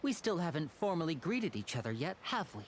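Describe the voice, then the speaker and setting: A woman voicing a courteous, playful, smooth-talking young man in a video game, with polite, measured phrasing. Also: low-pitched, big pitch swings, slight background noise. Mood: courteous, musing. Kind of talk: scripted video game dialogue. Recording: faint music behind.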